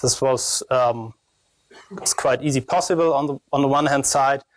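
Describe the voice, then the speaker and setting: A young man with a strong German accent presents technical research at a conference. His pitch sits in a middle range and the sound is fairly dry. He talks haltingly, searching for phrasing as a non-native speaker. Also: dry recording.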